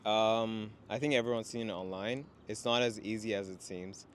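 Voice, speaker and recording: A man's voice: fairly low pitch, moderate pace, slight background noise. A young man from Sri Lanka, raised in Qatar, talking casually as a student guest about finding work, with lots of "you know". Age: young adult. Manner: relaxed, many fillers like "you know". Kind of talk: casual conversation. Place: outdoors in a city, traffic nearby.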